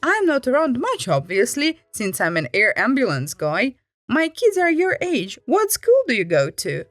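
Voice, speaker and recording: A man's voice: very high voice, huge pitch swings, moderate pace, a bit bright, no echo, faint music behind, heavily acted. A woman voicing a chatty, friendly middle-aged male air ambulance medic who is eager to make small talk. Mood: friendly, excited.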